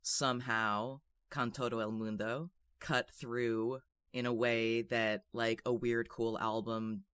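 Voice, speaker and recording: A man with a bright, crisp voice, recorded close and dry. He speaks as an interviewer, conversational and wordy, at a mid pitch. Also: flat delivery, slow pace, few pauses, no room sound.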